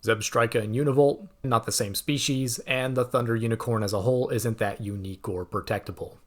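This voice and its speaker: A young man reading a scripted video essay in a measured, explanatory way with dry asides. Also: mid-range voice, fairly even intonation.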